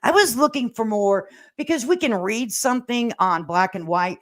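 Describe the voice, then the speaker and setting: A woman's voice: fairly high pitch, lively intonation, normal speed. Folksy, sassy older woman chatting casually. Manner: folksy, sassy, plain-spoken, slangy. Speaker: livestream host. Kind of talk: casual livestream commentary chat, reading viewer comments aloud. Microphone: standalone microphone at a laptop.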